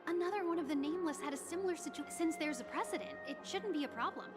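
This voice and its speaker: A woman's voice: high-pitched, very fast, close to an everyday voice. A woman voicing a polite, apologetic young hotel receptionist in a game, formal and courteous. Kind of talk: video game voice acting. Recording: game dialogue under loud music.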